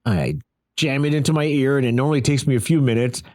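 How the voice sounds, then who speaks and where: Man, product reviewer, casual and conversational, mid-pitched voice, emphatic repetition. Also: fast, a little mellow. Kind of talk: casual audio gear review.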